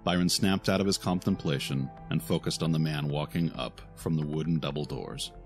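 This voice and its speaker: A man narrating a scripted fantasy audio drama in a solemn, deliberate way. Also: a bass voice. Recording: faint music behind the voice.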